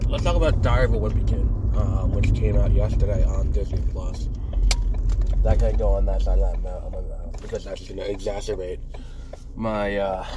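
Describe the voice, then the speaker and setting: A man's voice: on the low side, steady tone, fairly quick, dull audio, heavy background noise. A man hosting a spoken review show, congested from a sinus infection, with a slight lisp, talking casually with lots of fillers and restarts. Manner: rambling, many fillers and restarts. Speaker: podcast host reviewing a movie.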